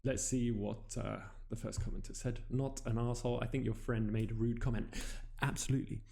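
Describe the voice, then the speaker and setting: A man's voice: mid-range voice, steady tone, fairly quick, vocal fry, warm tone. A man hosting a casual stream, reading online posts aloud and commenting on them in a wry, offhand, teasing way.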